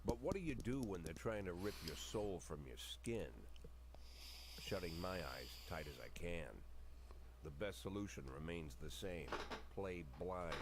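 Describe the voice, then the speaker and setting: An actor playing a cynical, haunted middle-aged police chief in a brooding noir video game monologue. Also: male voice, sits low, very noisy. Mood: bitter, grim. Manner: brooding, confessional, measured. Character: world-weary, cynical male police chief, haunted and bitter. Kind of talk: dramatic noir game narration.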